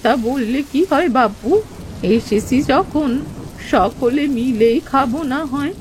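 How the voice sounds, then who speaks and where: A woman voicing a gentle, wise old village aunt in a cartoon, speaking with affectionate indulgence. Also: high voice, takes it slowly, slight background noise. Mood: friendly. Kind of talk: animated moral story acting.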